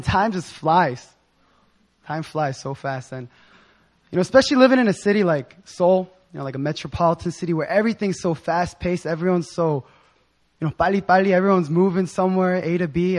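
A young man with a hoarse voice giving an informal sermon in a casual, slangy, excited manner. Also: sits high. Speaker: preacher.

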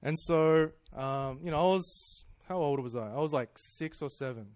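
A man's voice: on the high side, moderate pace, a little mellow, no echo, clean studio sound. A man, preaching in a chatty, self-deprecating storytelling way that often breaks into laughs. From Australia. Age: 30s. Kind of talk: personal storytelling sermon.

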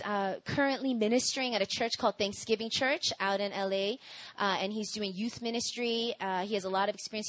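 Bright, upbeat woman introducing a speaker. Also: mid-range voice, dry recording. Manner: playful, upbeat, joking. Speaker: host introducing the guest speaker. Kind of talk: church introduction.

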